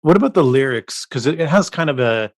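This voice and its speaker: A man's voice: medium pitch, expressive, a little fast, no room sound, clean studio sound. A man co-hosting a podcast, asking curious questions and laughing along with his guest. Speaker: podcast co-host. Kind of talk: interview questions.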